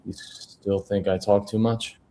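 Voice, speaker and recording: A man reading the part of a weary, wry newspaper proofreader at a film script table read, in a low-key conversational way. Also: little pitch movement, measured pace, mellow, lightly acted. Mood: curious, wry. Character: Cassidy, weary, lonely male newspaper proofreader, wryly curious.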